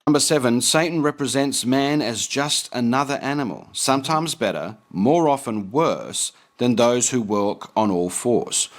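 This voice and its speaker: A man's voice: mid-range voice, animated, unhurried, hardly any pauses. Earnest man preaching in explanatory commentary. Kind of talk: explanatory commentary on a text read aloud.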